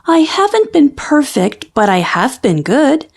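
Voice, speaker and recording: A woman's voice: high voice, big pitch swings, measured pace, pitched above the performer's own voice, heavily acted. A woman voicing an earnest, curious young girl bear cub in a children's storybook, full of wondering questions.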